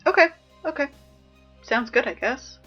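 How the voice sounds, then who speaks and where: A flustered teenage girl gives casual school announcements in an audio drama. Her voice is high, and she rambles hesitantly and pleadingly. The sound is slightly muffled, with music underneath. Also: expressive, a little slow, breathy edge, full character voice. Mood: uneasy. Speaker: morning announcements host.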